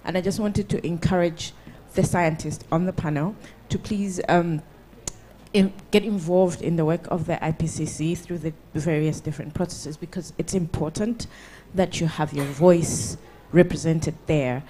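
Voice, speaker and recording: A woman's voice: low voice, fairly even intonation, moderate pace, warm tone, slight background noise. A woman making an earnest comment from the audience, encouraging the panel to get involved. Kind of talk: comment from the floor.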